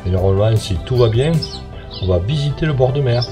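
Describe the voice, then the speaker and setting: A man's voice: medium pitch, animated, a little fast. A man from the south of France chatting to his viewers as a motorcycle travel vlogger, friendly and easygoing. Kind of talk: casual travel vlog narration. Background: background music.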